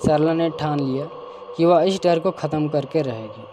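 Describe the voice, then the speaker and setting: A man's voice: a little high, fairly even intonation, normal speed, warm tone, slight background noise. A man narrating a scripted cartoon folk tale as storyteller.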